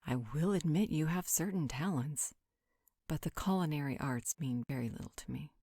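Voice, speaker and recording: A woman's voice: deep voice, moderate pace, warm tone, breathy edge, no room sound. A woman playing a haughty, flirtatious vampire with a dry, sarcastic manner in a roleplay audio drama. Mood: sardonic, dry.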